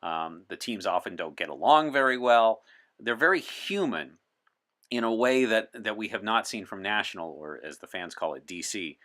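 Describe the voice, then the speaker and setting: A man's voice: fairly low pitch, lively intonation, normal speed, fairly bright tone. A man speaking as an interview guest in an articulate, explanatory and wry way. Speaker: podcast guest. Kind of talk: conversational interview talk in character as a visitor from 1964.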